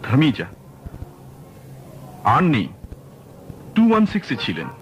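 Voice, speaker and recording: An actor playing a polite, helpful hotel receptionist. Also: male voice, medium pitch, wildly swooping pitch, a little slow, lo-fi sound, slight background noise.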